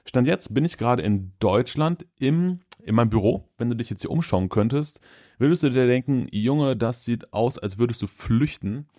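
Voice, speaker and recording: A man's voice: mid-range voice, animated, normal speed, warm tone. A man in his thirties from Germany, hosting his own podcast in a loose, upbeat chat from his office, with plenty of fillers. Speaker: podcast host. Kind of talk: casual solo podcast chat.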